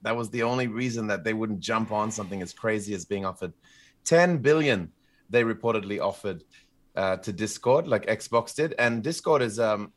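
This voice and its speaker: A man's voice: lowish voice, fairly bright tone. A man co-hosting a podcast, chatting informally and enthusiastically about gaming news. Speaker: podcast co-host. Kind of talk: casual chat, commentary on gaming news.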